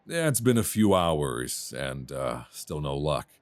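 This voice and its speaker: Romance lead played by a man: low, animated voice, flirty and self-deprecating, close dry binaural mic. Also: slow, no echo, heavily acted. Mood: friendly, wry. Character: friendly, flirtatious rough-and-tumble male traveller, a writer. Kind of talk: intimate ASMR romance roleplay. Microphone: close binaural microphone.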